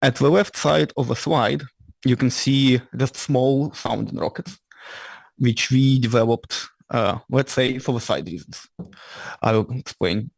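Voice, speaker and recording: A man with a Ukrainian-Scottish accent giving a lecture as an invited engineer. He speaks in halting, slightly ungrammatical English and often restarts his sentences. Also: medium pitch, vocal fry, darkish tone, slightly rough, no room sound. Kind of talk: lecture presentation. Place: lecture room with audience.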